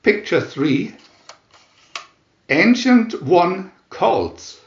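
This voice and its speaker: A man reading comic book captions as a dramatic narrator, announcer-like, with long pauses between phrases. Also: high-pitched, very animated, roomy sound, muffled recording. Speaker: narrator reading View-Master booklet captions.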